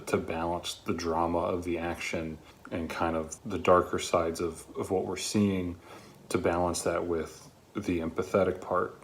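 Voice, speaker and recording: A man giving a spoken review of a script in an earnest, reflective way with measured phrasing. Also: deep voice, slight background noise.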